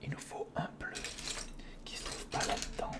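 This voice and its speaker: An ASMR creator whispering casual step-by-step commentary on a hands-on build, murmuring directly to the listener. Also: normal speed.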